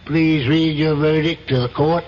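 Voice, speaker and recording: An actor playing a formal, measured trial judge in an old-time radio drama. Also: male voice, a little high, fairly even intonation, darkish tone, natural voice. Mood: solemn. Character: solemn presiding trial judge. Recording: muffled, faintly noisy old radio broadcast.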